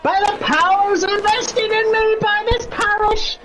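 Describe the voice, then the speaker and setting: Brief: loud folksy Southern lawman, extremely high-pitched shouty race commentary, noisy recording. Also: little pitch movement, measured pace. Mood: excited. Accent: put-on Southern US. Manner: shouty, folksy, bombastic drawl. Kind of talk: in-character sim racing commentary.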